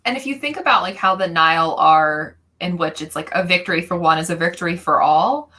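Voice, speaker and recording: A woman's voice: on the low side, a little fast, sharp tone. A woman guesting on a casual podcast review, talking with joking, wry asides.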